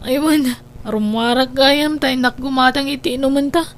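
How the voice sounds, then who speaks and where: A woman's voice: high voice, fairly even intonation, normal speed, lightly acted. A woman with an Ilocano Filipino accent playing a lovelorn, distraught young woman in a comedy drama, pleading and emotional. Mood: plaintive.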